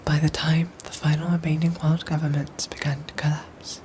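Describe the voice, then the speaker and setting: A woman playing a protective android soldier in a whispered ASMR roleplay, speaking in soft, breathy, formal and precise phrases. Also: sits low, little pitch movement, moderate pace, faint music behind, lightly acted. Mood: grave, gentle.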